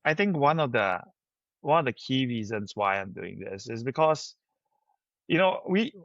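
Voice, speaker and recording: A middle-aged man with a Singaporean accent talks as a founder being interviewed on a podcast. He is anecdotal and conversational, with a mid-range pitch. The recording is dry and a little dull. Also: expressive, normal speed, a bit bright, no echo. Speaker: company founder, podcast guest.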